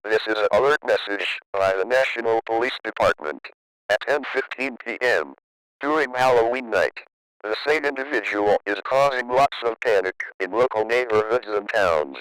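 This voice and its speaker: A man reading a scripted emergency broadcast as an alert announcer, in a formal, measured way. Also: lowish voice, fairly bright tone, clean tone, no room sound, rough recording.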